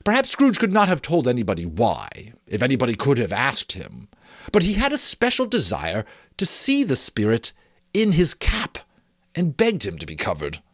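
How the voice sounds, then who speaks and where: A man narrating a storybook in a theatrical, expressive way. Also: medium pitch, moderate pace, dry recording, studio quality. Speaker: amateur audiobook narrator. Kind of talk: dramatic storybook narration with casual asides.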